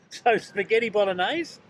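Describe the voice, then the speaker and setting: A man doing casual street interviews outdoors at a beach, chatting and joking with the people he questions. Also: sits high. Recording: noisy outdoor ambience.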